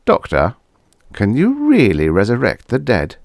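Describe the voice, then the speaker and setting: Man acting an anxious, excitable young widower. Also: on the low side, unhurried, gravelly, no echo, studio quality, voice pushed up, exaggerated voice. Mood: hopeful, doubting. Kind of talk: vintage science fiction audiobook character.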